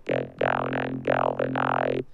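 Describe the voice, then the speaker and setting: Menacing robot, vocoder effect, extremely low, heavily gravelly, gritty, slow, synth-like buzz. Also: male voice, completely flat delivery, highs cut off, soft background music, exaggerated voice. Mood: menacing, robotic. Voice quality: gritty, buzzing. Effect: vocoder robot filter.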